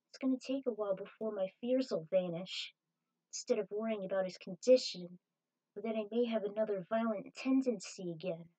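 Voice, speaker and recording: A woman's voice: fairly high pitch, warm tone, poor audio. A woman reading the part of an earnest, dreamy young female bounty hunter in a story.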